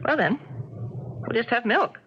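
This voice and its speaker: A woman's voice: a little high, lively intonation, slow, a bit bright, a little hiss or hum. An American actress playing a wary, proper, nervous woman in a 1970s radio drama, with a fretful, hesitant and primly polite manner. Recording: dull vintage radio recording with music.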